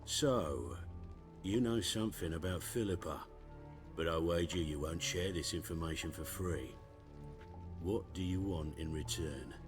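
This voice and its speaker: An actor playing a cold, smugly pompous middle-aged witch-hunter commander in a video game, speaking formally with sardonic, measured phrasing. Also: low-pitched, music in the background. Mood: smug, menacing.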